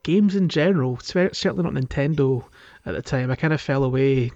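A man hosting a podcast in casual conversation, thinking aloud in a halting way. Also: medium pitch, a little mellow, highs cut off. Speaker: podcast host.

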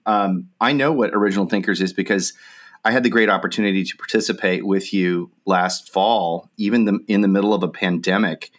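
Man, low voice, interview host, chatty questions, video call. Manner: warm, encouraging, wordy questions. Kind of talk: friendly interview.

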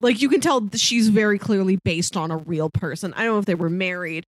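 A woman speaks as a podcast co-host in a casual, conversational style. Her pitch sits in the middle range for a woman. The sound is dry, with hardly any room around her. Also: a little fast, no echo. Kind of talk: casual discussion of a TV series.

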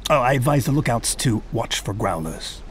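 An actor playing a courteous, steady ship's officer in a period audio drama, measured and formal. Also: male voice, medium pitch, slight background noise, lightly acted. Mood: businesslike.

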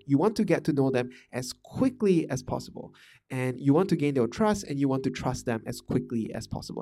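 A man's voice: mid-range voice, creaky, dark tone. A man from Malaysia talking through management ideas in a casual monologue, thoughtful and full of fillers and restarts. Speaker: podcast host discussing management.

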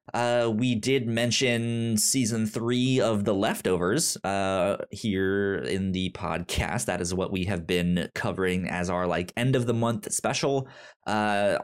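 A man hosting a podcast in a casual, chatty style, often catching and repeating sounds as he starts words. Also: fairly low pitch. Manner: frequent stammered sound repetitions. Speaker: podcast host.

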